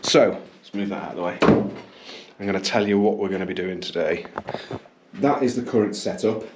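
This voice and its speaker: A man's voice: lowish voice, a little fast, very noisy. Chatty man with a cough. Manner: chatty and upbeat, with ums and self-corrections. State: slight cough. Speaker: business owner and channel host. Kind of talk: casual vlog to camera. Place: small indoor studio room.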